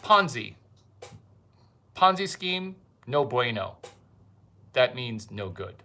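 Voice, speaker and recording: A man doing a rambling comic monologue, provocative and fond of repeating his catchphrases with drawn-out emphasis. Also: medium pitch, very animated, sharp tone. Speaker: comedian host.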